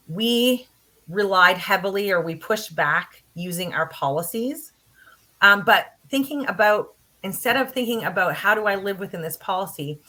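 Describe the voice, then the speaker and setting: A woman's voice: on the low side, bright, forceful tone, low-quality recording. A woman college instructor giving a conference talk in an earnest, practical way, with frequent fillers. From Canada. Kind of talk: conference presentation.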